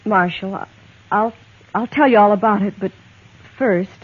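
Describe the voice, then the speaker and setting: Actress as a husky, anxious frontier young woman. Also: medium pitch, moderate pace, close to an everyday voice. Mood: anxious, pleading. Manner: hesitant, pleading. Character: anxious, distraught young woman of the old West. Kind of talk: 1950s radio western acting. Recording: thin, tinny vintage radio recording.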